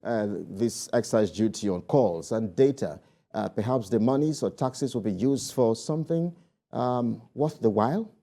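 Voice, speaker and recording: A Nigerian man interviewing on a news programme, putting questions in halting phrases with repeated words. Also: medium pitch, dark tone.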